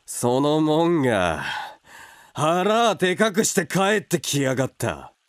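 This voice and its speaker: An actor in a radio drama playing a coarse, hot-tempered older brother who is fiercely protective. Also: male voice, fairly high pitch, sing-song, slow pace, no room sound, studio quality. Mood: brooding. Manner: coarse, blunt rough speech. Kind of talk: Japanese radio drama acting.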